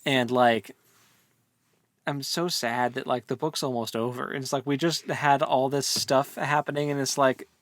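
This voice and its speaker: A woman's voice: rumbling low, fairly even intonation, normal speed, no room sound. A woman co-hosting a book podcast in a casual, easygoing, informal chat. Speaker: podcast co-host.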